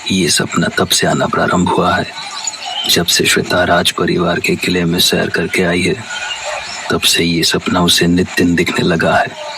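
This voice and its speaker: A man voicing a grave spiritual guru making measured, solemn pronouncements in an audio drama. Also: low-pitched, monotone, creaky, noisy background. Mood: ominous. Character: grave male spiritual guru foretelling hardship. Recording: echoing voice over loud music.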